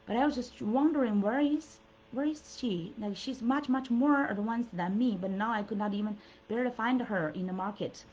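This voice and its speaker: A woman's voice: a little high, moderate pace, a little mellow, a little hiss or hum. Earnest Chinese-born woman coach, lo-fi. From China. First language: Mandarin. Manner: earnest, encouraging, many fillers and restarts. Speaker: coach and show host. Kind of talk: motivational solo talk. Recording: dull lo-fi audio.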